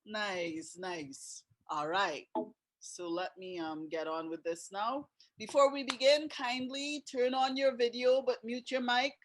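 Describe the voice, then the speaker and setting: A woman's voice: fairly high pitch, slow pace, slight background noise. A woman with a Trinidadian accent, hosting a webinar over a video call in a chatty way and asking her guests questions. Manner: chatty, laughs often, asks questions. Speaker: webinar host.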